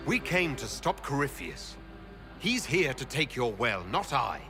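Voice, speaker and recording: A resolute adventurer from a fantasy game, speaks with guarded, formal firmness. The mid-pitched voice moves in a lively way under clear music. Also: male voice, a little slow. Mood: questioning, resolute. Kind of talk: fantasy video game acting.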